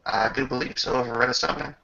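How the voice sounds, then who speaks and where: A man works as game master, improvising scenes in a halting, stop-and-start way. His voice is heavily fried at the edges yet has a sharp, forward tone. The microphone sound is slightly muffled. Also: mid-range voice, fairly even intonation, gravelly. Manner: halting, pausing often mid-sentence. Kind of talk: improvised narration of a tabletop game. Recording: dull lo-fi home microphone.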